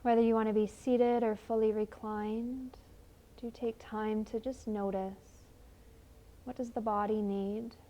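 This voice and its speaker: A woman leading a guided meditation in a gentle, soothing, unhurried manner with long pauses. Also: on the high side, level tone, lo-fi sound.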